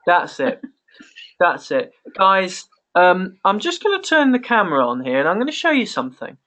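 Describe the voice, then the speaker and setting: A man hosting a casual conference call, talking in a halting way and repeating phrases as he goes. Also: sits high, huge pitch swings, sharp tone. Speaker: call host.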